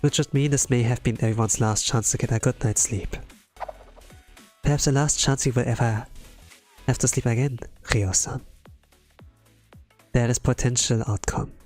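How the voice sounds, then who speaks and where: A man voicing a composed, polite young woman from a game in a measured, formal way, with music behind. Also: medium pitch, fairly even intonation, a little mellow, lightly acted. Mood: calm, formal. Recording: music under the voice.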